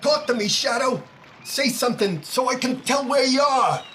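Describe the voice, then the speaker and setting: A man's voice: extremely high pitch, normal speed, pushed and bright, slight background noise. A man playing a desperate, panicked young killer in 1930s radio drama style, defiant and strained. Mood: desperate, threatening.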